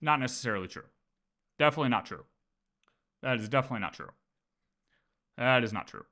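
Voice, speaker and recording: A man's voice: medium pitch, lively intonation, fast talker, sharp tone. A man livestreaming casual game lore commentary, thinking aloud and often repeating his words as he works through ideas.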